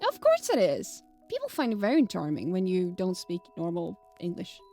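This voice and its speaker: A woman's voice: on the low side, wildly swooping pitch, moderate pace, mellow, faint music behind. A woman whose first language is Swedish, speaking with a light Swedish accent as a streamer chatting casually, rambling, fumbling her words and laughing often. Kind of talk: casual live-stream chat.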